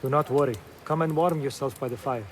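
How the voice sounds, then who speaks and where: An actor playing a gentle, dutiful scholar in a video game, courteous and sincere. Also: male voice, mid-range voice, brisk, a little hiss or hum, close to an everyday voice. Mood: warm, dutiful. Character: gentle, dutiful male scholar among assassins. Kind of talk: video game cutscene acting.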